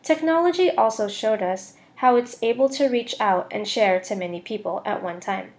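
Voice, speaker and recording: A young adult woman with a fairly high voice narrates a prepared slide presentation. She speaks as a student presenter, reading from a script. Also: normal speed, a little mellow.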